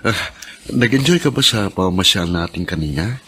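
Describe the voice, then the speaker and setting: An actor playing a tender, devoted middle-aged husband, affectionate and coaxing, in a Filipino radio drama. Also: male voice, deep voice, lively intonation, moderate pace, bright, forceful tone, slight background noise.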